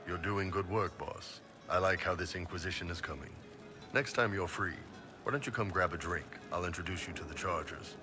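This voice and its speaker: An actor playing a big, brash, easygoing horned mercenary warrior in a video game, with a deep, gravelly voice and blunt, casual talk. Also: male voice, fairly quick, music in the background, close to an everyday voice. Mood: warm, relaxed. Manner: blunt, jovial, casual, swearing.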